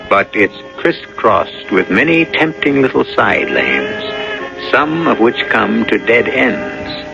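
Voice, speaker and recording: A man hosting and narrating a radio drama in a gravelly voice, measured and solemn. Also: on the low side. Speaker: host and storyteller. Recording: dull old radio broadcast.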